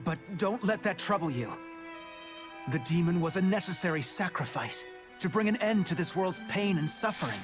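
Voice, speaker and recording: A man's voice: sits high, lively intonation, normal speed. An actor playing a composed, righteous young male holy knight in a video game, speaking in a formal, lofty manner. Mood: calmly righteous. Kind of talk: dramatic video game voice acting. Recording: loud music behind the voice.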